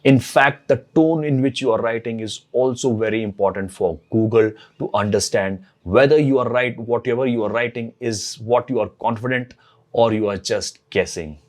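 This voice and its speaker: A man's voice: medium pitch, moderate pace. A man whose first language is not English, talking casually to camera as host of a blogging channel and repeating phrases as he thinks aloud. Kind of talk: casual tutorial talk to camera.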